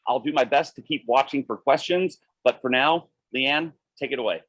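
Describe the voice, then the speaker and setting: A man opens a webinar and formally reads out the panelists' introductions, stumbling only slightly. His voice is mid-pitched and sits close and dry, with no room sound around it. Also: normal speed, fairly bright tone, slightly rough, dry recording. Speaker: webinar moderator.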